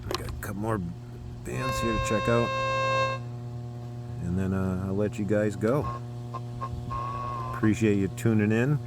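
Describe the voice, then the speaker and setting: A man casually hosting a hands-on radio demonstration in an informal, unhurried way. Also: lowish voice, loud music behind the voice. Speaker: radio hobbyist video host. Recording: loud radio static and hiss behind.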